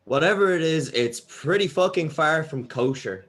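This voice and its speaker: A man's voice: a little high, lively intonation, moderate pace, a bit bright, slight background noise. A man reading the part of a wry, shaken young band member confessing to camera in a horror comedy table read. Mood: dry, tense.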